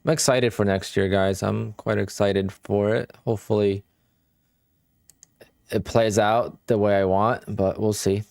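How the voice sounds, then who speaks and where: A man's voice: lowish voice, measured pace, gravelly, no echo, studio quality. Polish-accented man chatting on a live stream. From Poland. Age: 30s. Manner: relaxed, talks to chat in short bursts. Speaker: day trading streamer. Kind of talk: casual live stream chat.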